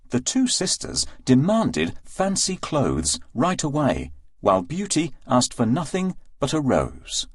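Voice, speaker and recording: A man narrating a scripted fairy tale for children with an expressive, deliberate storytelling delivery. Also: mid-range voice, slightly rough, dry recording, clean studio sound.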